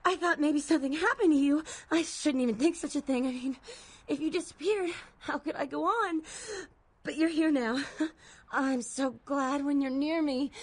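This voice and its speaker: An actress playing an adoring, devoted young college student in thrall to a vampire in a video game, breathless and clingy. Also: female voice, high-pitched, normal speed, lightly acted. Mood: anxious adoration. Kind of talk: video game dialogue acting.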